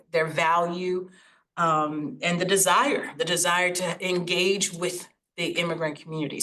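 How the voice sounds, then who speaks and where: A woman raised in the United States by Haitian parents, Haitian Creole her first language, giving a reflective talk over slides and often pausing to search for words. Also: fairly low pitch, fairly bright tone. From United States, Haitian family. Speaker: guest speaker. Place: online recording, video call.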